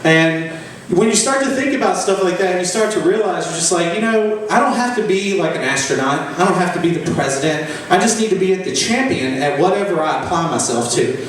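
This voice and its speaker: A man's voice: high voice, lively intonation, a little fast, hardly any pauses. A man, telling profane, boastful stand-up stories in a mock-epic way. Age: 20s. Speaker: stand-up comedian. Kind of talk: stand-up storytelling. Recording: echoey room from far off, faint noise.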